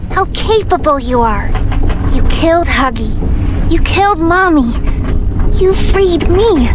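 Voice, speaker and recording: A woman voicing an earnest, pleading, determined living doll in a dramatic video game. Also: sits high. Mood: urgent, resolute. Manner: slow, earnest, urgent. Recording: game clip under loud music.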